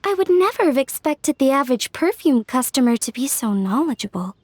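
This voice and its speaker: A woman playing a polite, excitable young lady minding a shop in a video game, courteous and expressive. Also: high-pitched, brisk. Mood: surprised.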